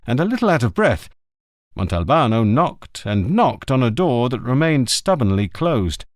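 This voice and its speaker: A man narrates a crime novel in a lively, expressive voice of medium pitch. His intonation rises and falls a great deal. The recording is dry and close. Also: no room sound. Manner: expressive, varied delivery. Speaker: audiobook reader. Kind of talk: scripted narration.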